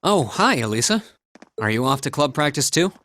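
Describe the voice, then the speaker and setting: A nice young student dude from a game, polite, voice moves up and down a lot, dry studio sound. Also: male voice, medium pitch, very animated, normal speed, a bit bright, no echo. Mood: friendly. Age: young adult. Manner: polite, apologetic, friendly. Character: earnest, easygoing young male military academy student. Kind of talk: English anime-style game voice acting.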